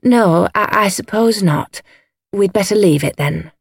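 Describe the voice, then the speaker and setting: A woman's voice: mid-range voice, a little slow, creaky, husky, dry recording, studio quality. A woman voicing a timid, easily cowed upper-class English lady in an audiobook, hesitant, faltering and apologetic. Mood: meek retreat. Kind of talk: audiobook character voice.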